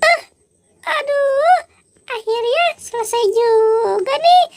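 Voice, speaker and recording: Bumbling, curious children's toy character acted by a man: unhurried, wondering aloud with exclamations, very noisy recording. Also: very high-pitched, exaggerated voice. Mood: puzzled. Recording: very noisy background.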